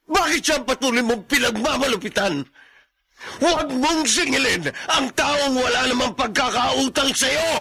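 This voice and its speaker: A man's voice: very high-pitched, expressive, moderate pace, creaky, sharp tone, breathy edge, heavily acted. A man playing an anguished parent, pleading and reproachful in a radio drama. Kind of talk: Filipino radio drama acting.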